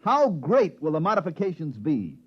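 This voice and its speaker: Casting: anxious fast-talking schemer repairman, highish animated voice, wheedling slang, fifties radio play. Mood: impatient, eager. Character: harried, wheedling repairman in trouble. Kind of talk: 1950s radio drama acting. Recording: muffled, very noisy old radio recording.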